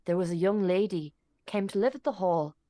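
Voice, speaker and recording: A woman's voice: fairly low pitch, fairly quick, mellow, dry recording, close to an everyday voice. A woman playing a gossipy, deferential servant who tells grim news with dramatic relish in a radio play. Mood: confiding. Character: gossipy, dramatic old-time English local servant witness.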